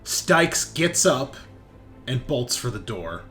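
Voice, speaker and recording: Lively man running a tabletop game. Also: medium pitch, slow, faint music behind. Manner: informal, profane, conversational. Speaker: game master. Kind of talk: casual tabletop game narration.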